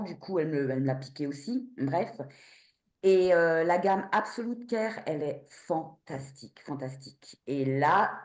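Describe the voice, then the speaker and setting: Outspoken low-voiced woman in her fifties. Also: echoey room. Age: 50s. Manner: blunt, outspoken, animated and quick. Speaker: customer giving a testimonial. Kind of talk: frank casual testimonial on a video call.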